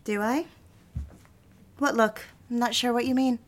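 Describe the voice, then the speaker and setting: A woman's voice: fairly high pitch, unhurried, lightly acted. An actress voicing an earnest, ambitious young female secretary with wounded feelings in an audio drama. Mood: hesitant, hurt. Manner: expressive, earnest. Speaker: actress narrating and playing lead. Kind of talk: dramatized first-person narration and dialogue.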